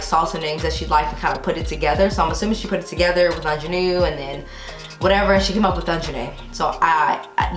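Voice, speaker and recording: An American woman in her thirties with a fairly low voice talks casually to camera. She is chatty and conversational, tossing in playfully emphatic asides. The room echoes clearly and music plays behind her. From Texas, United States. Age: 30s. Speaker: YouTube vlogger. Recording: roomy echo with music behind. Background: background music.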